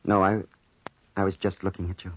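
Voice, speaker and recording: Earnest, husky young soldier. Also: male voice, low-pitched, little pitch movement, fairly quick, a bit bright. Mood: tender. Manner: earnest, pleading, hesitant. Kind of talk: 1940s radio drama acting. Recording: muffled, dry 1940s radio recording.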